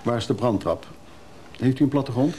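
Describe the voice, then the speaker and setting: An actor playing a fussy, nervous, rambling traveller in a radio drama, with a hesitant, dry way of talking. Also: male voice, medium pitch, fairly quick, lightly acted. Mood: fussy, polite. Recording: noisy radio play recording.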